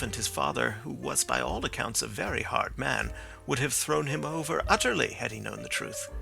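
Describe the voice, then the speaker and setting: A man reading the part of a sharp, calm male detective who reasons things out in a measured, precise way. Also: mid-range voice, vocal fry, exaggerated voice.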